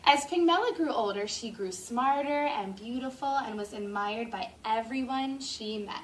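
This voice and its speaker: A woman teacher reading a picture book aloud to a class of children in an expressive, drawn-out storytelling way, stopping to ask eager questions. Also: a little high. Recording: distant classroom camera with faint noise.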